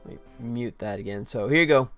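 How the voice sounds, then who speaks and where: A man's voice: medium pitch, a little fast, clean tone, soft background music. A man hosting a casual game development livestream, chatty and informal, talking directly to viewers. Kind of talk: casual livestream chat, showing work in progress.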